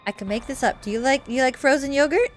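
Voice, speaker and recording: A woman's voice: high-pitched, fast talker, a little hiss or hum, pitched above the performer's own voice, lightly acted. A woman voicing a friendly, eager, apologetic young woman in a visual novel game, chummy and over-earnest. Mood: pleading.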